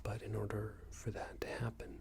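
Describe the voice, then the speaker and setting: A man playing a smug, unreasonable boss in an ASMR roleplay, scolding an employee in a soft breathy whisper with a hesitant, condescending manner. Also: monotone, normal speed, natural voice. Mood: passive-aggressive. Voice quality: breathy soft whisper with low creaky murmur. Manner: hesitant, condescending, meandering reprimand.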